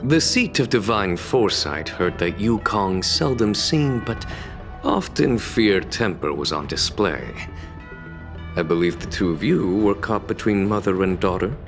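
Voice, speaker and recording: A man acting as a wise, courteous military commander in a video game, speaking in a formal, measured and deliberate manner. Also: lowish voice, animated. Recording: background music under the voice.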